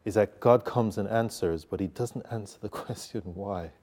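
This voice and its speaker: A man speaking as a panel guest in an informal religious discussion, reflective and halting as he thinks. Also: fairly low pitch, darkish tone.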